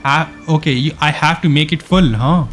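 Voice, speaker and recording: A man streaming a game, giving casual, halting live commentary in an informal way. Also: a little high, a bit bright, faint music behind.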